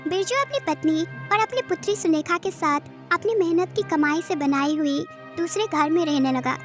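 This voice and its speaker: A woman narrates a scripted children's story. Her voice is extremely high-pitched. Music plays clearly behind the narration. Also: moderate pace.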